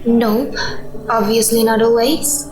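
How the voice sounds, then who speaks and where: Caring, high-voiced wife played by a woman. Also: fairly even intonation, slow. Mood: concerned. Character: caring, gently nagging wife at breakfast. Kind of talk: radio drama acting. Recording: echoey room with music. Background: music.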